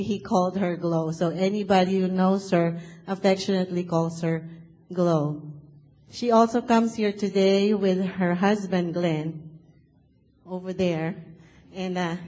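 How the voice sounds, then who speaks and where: A woman's voice: lowish voice, warm tone. Earnest Filipino woman introducing a speaker. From Philippines. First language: Tagalog. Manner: earnest, enthusiastic. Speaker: church member introducing the speaker. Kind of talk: formal introduction. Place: church sanctuary.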